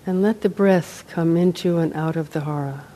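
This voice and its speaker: A woman meditation teacher leading a guided meditation, unhurried, in short phrases with long pauses. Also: lowish voice, mellow, rough recording. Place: meditation center, live talk.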